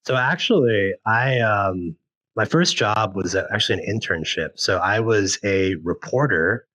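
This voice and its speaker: A young man from Thailand, a guest on a sales podcast, explaining his ideas in a measured, analytical way. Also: on the low side, lively intonation, a bit bright, rough recording. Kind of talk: podcast interview.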